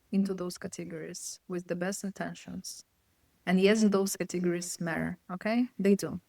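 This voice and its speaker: A woman from Poland, a guest consultant, answering interview questions in a thoughtful, measured way, often checking in with "right?". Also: on the low side, creaky, darkish tone, no room sound, poor audio. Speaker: guest, psychological safety consultant.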